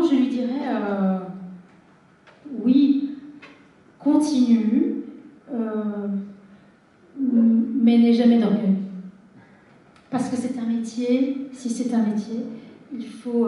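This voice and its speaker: A middle-aged woman novelist from Algeria talks about her writing in a thoughtful, halting way. Her voice sits somewhat high with a soft, mellow warmth. She is picked up from far off in a strongly reverberant hall. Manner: reflective, hesitant with many fillers. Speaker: novelist guest. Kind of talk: literary interview on stage.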